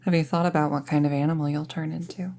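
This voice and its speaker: Young apprentice witch for audio roleplay, played by a woman: low, mellow, soft-spoken, casually thoughtful and friendly, near-whispered at times. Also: little pitch movement, fast, slight background noise. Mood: musing, casual. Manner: soft-spoken, hesitant, musing, often trailing into near-whisper. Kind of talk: intimate roleplay audio acting, conversational.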